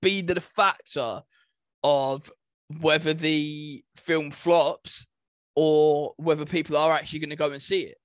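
Higher-pitched man chatting, dry close sound. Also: steady tone, a little slow, no echo. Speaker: podcast co-host. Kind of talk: casual chat.

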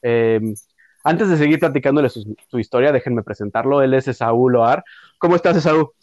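A man in his late thirties with a Mexican accent, hosting a relaxed interview podcast in a chatty, slangy way. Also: medium pitch, moderate pace. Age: late 30s. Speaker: podcast host. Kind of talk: casual interview chat.

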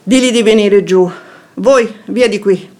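An actress playing a shrewd, composed wife who feigns affection, with formal, eloquent theatrical phrasing in a radio drama. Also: female voice, medium pitch, unhurried, a little mellow, close to an everyday voice. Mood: urgent, commanding. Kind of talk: theatrical radio drama acting of classic comedy.

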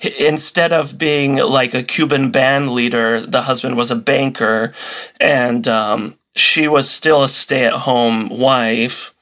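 A man's voice: medium pitch, steady tone, slow pace, pushed and bright. A man talking casually as a podcast guest. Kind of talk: casual chat.

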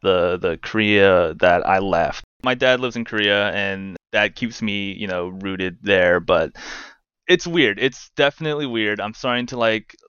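A man around thirty speaks in a casual interview. His voice is low and resonant, his talk loose and wry, and it is full of "uh" and "like." The recording sounds dry and a little dull, like lo-fi remote audio. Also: a little slow, fairly bright tone, smooth, no echo. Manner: rambling and wry, heavy with "uh" and "like". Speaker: guest, games news editor.

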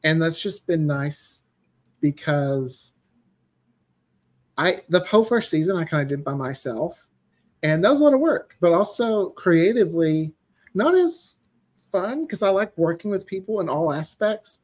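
A man's voice: fairly high pitch, expressive, a little slow, a little mellow. A man speaking as a podcast guest in casual chat, explaining things in a chatty way. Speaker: podcast guest, audio drama writer.

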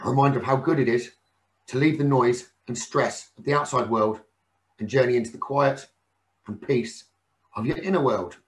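Male meditation teacher, soothing and unhurried, mid-pitched, leaving space between phrases. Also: low-quality recording. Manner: soothing, unhurried, with frequent pauses. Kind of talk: guided meditation narration.

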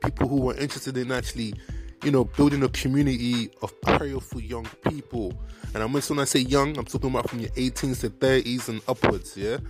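A man's voice: mid-range voice, steady tone, fairly quick, music in the background. A young man hosting a podcast, talking casually about faith in an earnest, encouraging way, full of fillers and repeated phrases. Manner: earnest, repetitive, encouraging, preachy; heavy fillers like "like" and "you know what I mean". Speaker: podcast host. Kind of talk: casual faith talk to viewers.

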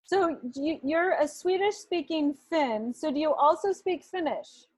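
A woman hosting a casual interview, a homeschooling mother who talks softly with many fillers. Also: high-pitched, expressive, measured pace, dry recording, poor audio. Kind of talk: casual conversation.

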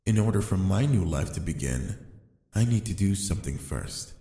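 A man playing a calm, sly, seductive fallen angel in an intimate ASMR roleplay, speaking slowly and deliberately to the listener. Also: low-pitched. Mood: seductive, coaxing. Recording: echoey, long reverb.

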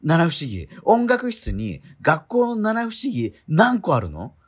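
A man's voice: a little high, wildly swooping pitch, breathy edge, full character voice. An actor playing an exasperated schoolboy straight man in a comedic radio drama, quibbling and retorting in disbelief. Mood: incredulous. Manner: incredulous, quibbling, rapid retorts.

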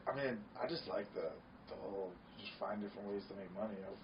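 A man's voice: fairly low pitch, fast. A man with a nasal Michigan accent, speaking as a guest in a casual interview, earnest and candid. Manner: earnest, candid, repeats himself. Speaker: guest, wellness center owner. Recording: distant, dull lo-fi sound, faint noise.

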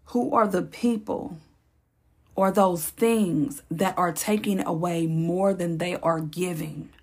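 A woman hosting her own podcast, speaking in a slow, encouraging, reflective way, with a raspy voice and features. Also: fairly low pitch, steady tone. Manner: slow, encouraging, repeats phrases for emphasis. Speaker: podcast host. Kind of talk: reflective solo podcast talk.

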